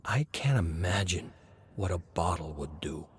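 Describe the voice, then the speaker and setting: A man puts on a menacing agent from an audio story, cold and controlled. The voice is low and slow, each threat delivered deliberately. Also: lively intonation, a little hiss or hum. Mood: quiet menace. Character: cold, menacing male agent interrogating a captive.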